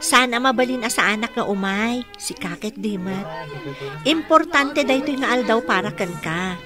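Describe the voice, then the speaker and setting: An actress playing a sweet, grateful young mother in a radio drama, speaking in a warm, sentimental manner. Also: fairly high pitch, normal speed, over music, natural voice. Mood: warm, congratulatory. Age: young adult. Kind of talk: Filipino radio drama acting.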